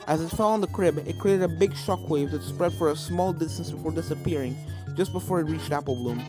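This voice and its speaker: A woman's voice: low voice, a little fast. A woman reading scripted fan fiction as a story narrator. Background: video game music.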